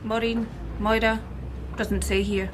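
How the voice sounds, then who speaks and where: An actress playing a savvy, cheeky journalist in a radio drama, with a wry, familiar manner. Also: female voice, a little high, flat delivery, takes it slowly. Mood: cheeky, matter-of-fact. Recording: noticeable background noise.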